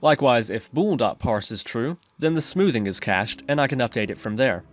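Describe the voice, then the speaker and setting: A man with a slightly low-to-middling, ordinary speaking pitch hosts a programming tutorial. He narrates step by step in an explanatory way, talking through his reasoning. Faint music plays behind his voice. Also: animated, normal speed.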